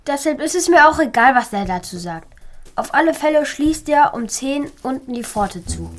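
A woman's voice: high-pitched, lively intonation, normal speed. A woman playing an eager, thoughtful, kind-hearted schoolchild who acts and narrates in a children's radio play. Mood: secretive, determined.

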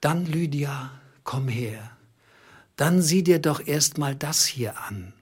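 An actor playing a middle-aged, bitter clerk who speaks in a deliberate, accusing, sarcastic way in a radio drama. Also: male voice, fairly high pitch, lively intonation, husky, exaggerated voice. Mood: cold menace. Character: bitter, calculating small clerk confronting his treacherous wife.

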